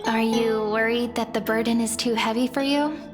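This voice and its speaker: A woman performs a young video game heroine with a high voice, speaking over clear background music. She is gentle and hopeful, a devoted companion who encourages earnestly and teases lightly. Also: steady tone, moderate pace, close to an everyday voice. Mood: earnest, reassuring. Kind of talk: scripted video game dialogue.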